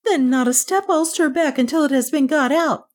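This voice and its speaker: A woman voicing a stubborn, easily frightened storybook maidservant with a sharp, snappy manner. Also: medium pitch, big pitch swings, moderate pace. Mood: alarmed.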